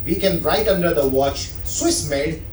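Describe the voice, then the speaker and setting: Indian man giving a talk, high voice. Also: unhurried, a little mellow, a little hiss or hum. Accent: Indian English. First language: not English. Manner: chatty, asks the crowd questions. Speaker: watch specialist presenter. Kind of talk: live talk to an audience. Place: room at a live event. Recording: echoey room, speaker far from mic.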